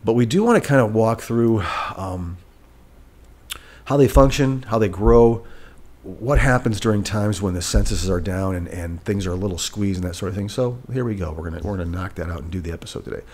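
A man's voice: on the low side, fairly quick, darkish tone. A middle-aged man with a stuffed-up, nasal voice, hosting a solo podcast from a rented apartment living room, talking in a rambling way and correcting himself as he goes. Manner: rambling, self-correcting, candid. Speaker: podcast host. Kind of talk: casual solo podcast talk. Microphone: studio mic on a boom arm.

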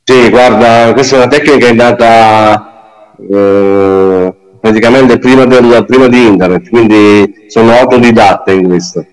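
A man's voice: on the low side, steady tone, a little slow, clean tone, rough recording. A man in his forties answering interview questions over a video call played in a theatre. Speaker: prize-winning painter. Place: indoor room, video call.

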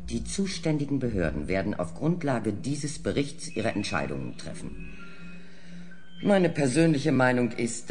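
An actor playing a formal, impatient male chairman of an inquiry in an audio drama, measured, firm and official. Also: lowish voice, a little hiss or hum, lightly acted. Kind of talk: German audio drama acting.

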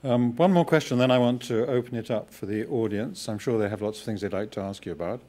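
An older man hosts an interview on a small auditorium stage, his voice at a middling pitch. He prompts his guest with measured questions into a stand mic. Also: dark tone. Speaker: host, interviewer. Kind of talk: onstage interview.

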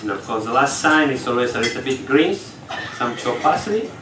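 A man's voice: medium pitch, expressive, normal speed, noisy background. A man, an English speaker, explaining step by step during a live cooking demonstration on stage, talking in slightly broken English. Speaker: executive chef. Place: large hall on a ship, in front of an audience. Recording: echoey hall from far off.

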